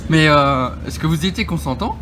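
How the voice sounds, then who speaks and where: A man's voice: fairly high pitch, lively intonation, background noise. A male vlogger hosting a joking mock interview, teasing and playful, in a busy public place. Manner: playful, teasing, quick to laugh.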